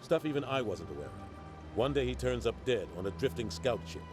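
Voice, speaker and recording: Veteran captain, played by a man, measured and guarded, music behind. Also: medium pitch, lively intonation, close to an everyday voice. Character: seasoned male naval captain, guarded. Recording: game audio with music.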